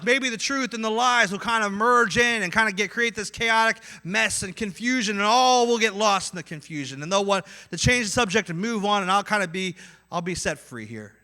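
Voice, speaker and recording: A man with a Midwestern accent preaching a sermon, acting out inner voices and swinging between loud and quiet. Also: sits high. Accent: Midwestern American. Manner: animated, acts out inner voices with swings in loudness. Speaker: pastor.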